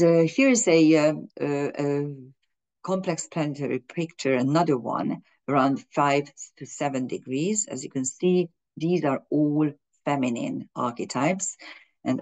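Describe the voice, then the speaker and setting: A woman's voice: a bass voice, a little mellow. Fumbling woman astrologer. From Hungary. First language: Hungarian and German. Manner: fumbling, with frequent restarts and fillers. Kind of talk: explaining an astrology chart in a video.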